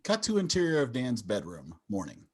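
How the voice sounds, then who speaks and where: A man's voice: medium pitch, huge pitch swings, fairly quick, breathy edge. A man reading scripted stage directions as narrator of a table read over a video call.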